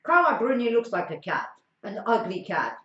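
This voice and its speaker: A woman's voice: mid-range voice, sing-song, moderate pace, fairly bright tone. A woman from France with a French accent, chatting casually with viewers on a stream and trading blunt, teasing opinions. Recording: echoey room, dull lo-fi sound.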